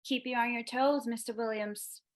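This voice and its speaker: A woman's voice: on the high side, steady tone, moderate pace, lightly acted. A woman acting a spirited, hopeful young 1940s woman in a live screenplay read. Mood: sassy.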